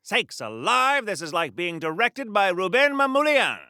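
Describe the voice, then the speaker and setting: A male Golden Age superhero for a video game, American, earnest and wisecracking. His high, bright voice swings dramatically up and down like an old radio serial hero's. He is folksy and courteous even when he is being hammy. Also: moderate pace, clear, resonant tone, dry recording, clean studio sound, heavily acted. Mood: excitable, indignant. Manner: hammy, old-fashioned polite, folksy exclamations. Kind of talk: 1940s radio-hero style video game acting.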